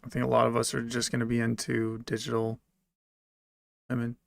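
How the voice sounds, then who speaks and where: A man livestreaming, reading out his chat and answering it in a joking, deadpan way. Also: medium pitch, a little fast, gravelly, no room sound, studio quality.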